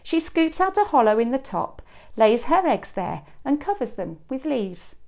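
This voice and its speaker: Woman reading a picture book expressively. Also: high voice. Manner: expressive, dramatic emphasis and pauses. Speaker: teacher reading to a young class. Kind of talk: children's picture book read-aloud. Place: small classroom, indoors. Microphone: clip-on lapel mic.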